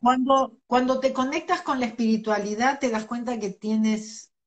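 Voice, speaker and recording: A woman's voice: a little high, fairly even intonation, normal speed. A middle-aged woman, a guest speaking earnestly in an interview and laughing between her points. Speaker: interview guest, spiritual teacher. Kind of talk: reflective conversational interview.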